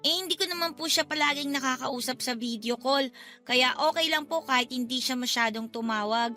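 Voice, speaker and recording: Very high, bright little girl. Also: brisk, soft background music. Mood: sweet, earnest. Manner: polite, childlike, sweet. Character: sweet little schoolgirl niece, polite and cheerful. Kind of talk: radio drama acting.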